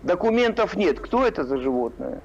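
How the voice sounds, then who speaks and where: A man's voice: sits high, very animated, moderate pace, vocal fry, highs cut off, crowd noise. A man arguing back sarcastically, firing needling questions at the other speaker. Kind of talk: heated argument.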